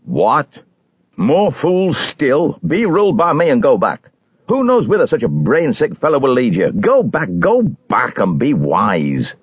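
A man's voice: mid-range voice, huge pitch swings, unhurried, breathy edge, dry recording, lo-fi sound, voice pushed up, heavily acted. A man voicing a stubborn, scornful neighbour in a storybook, blustering and dismissive. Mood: exasperated.

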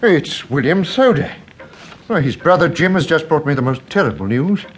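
An actor playing a kindly, absent-minded older English country rector in a radio drama, fussy and effusive, often hesitating and repeating himself. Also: male voice, on the high side, expressive, moderate pace, a little hiss or hum, natural voice. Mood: dismayed.

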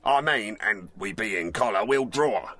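An actor playing a cocky, hot-headed young servant in a dramatised Shakespeare audiobook, boastful and bawdy in manner. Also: male voice, lowish voice, expressive, moderate pace, sharp tone. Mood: combative, bragging.

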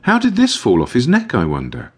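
An anxious woman dog breeder in an audiobook, acted out by a man, speaking in a raised, high voice. The delivery is fretful and emotional, full of drama, with pitch that swings widely. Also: huge pitch swings, a little fast, husky. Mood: puzzled, worried. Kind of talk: audiobook character voice.

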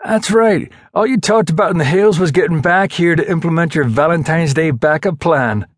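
A man's voice: fairly high pitch, moderate pace, dry recording, studio quality, pitched above the performer's own voice, close to an everyday voice. A man voicing a cheeky, teasing young Navy SEAL with an Irish accent in an audiobook.

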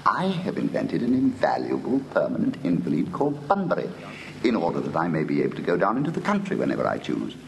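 An arch, swooping upper-class English idler. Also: male voice, deep voice, huge pitch swings, fast, creaky, darkish tone, exaggerated voice. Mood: gleeful, smug. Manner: arch, precise, theatrical diction. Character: flippant, teasing young gentleman of leisure, witty idler. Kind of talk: classic stage comedy audio drama, old-fashioned acting. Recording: old recording with faint hiss.

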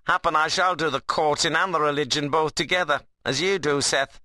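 A man's voice: on the high side, fairly even intonation, brisk, sharp tone, no echo, pitched above the performer's own voice. A man voicing a wiry, sarcastic young workman in an audiobook novel, mocking and needling in a dialect. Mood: teasing, sarcastic.

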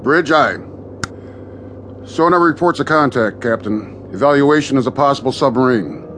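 An actor playing a brisk, stern naval deck officer in a radio drama. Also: male voice, mid-range voice, fairly bright tone, a little hiss or hum, close to an everyday voice. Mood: businesslike.

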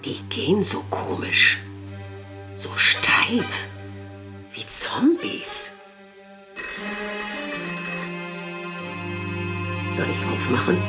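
An elderly aristocratic lady in an audio drama, stern and unafraid. Her delivery is slow and deliberate, with pitch that swings widely as she gives imperious orders. Music runs clearly behind her. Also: exaggerated voice. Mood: wary. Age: older. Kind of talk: German audio drama acting.

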